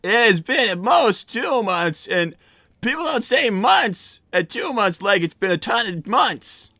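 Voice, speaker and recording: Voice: mid-range voice, very animated, a little slow, very noisy. A performer voicing a flustered young boy fox cartoon character, stammering and repeating words while hosting a game livestream. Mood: flustered, puzzled. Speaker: streamer hosting a live show.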